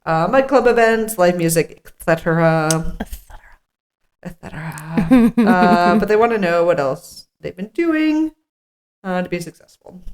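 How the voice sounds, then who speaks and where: A woman's voice: lowish voice, lively intonation, a little slow, darkish tone. A woman co-hosting a podcast in casual chat, with a playful, jokey manner, sometimes reading from notes. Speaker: podcast co-host.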